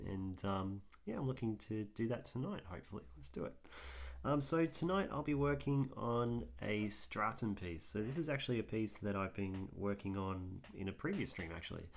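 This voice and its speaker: A man talks through his drawing on a live stream in a rambling, easygoing way, full of ums. His voice is hoarse and gravelly, and it sits fairly low. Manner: rambling, frequent ums, thinks aloud while working. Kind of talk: casual livestream commentary while drawing.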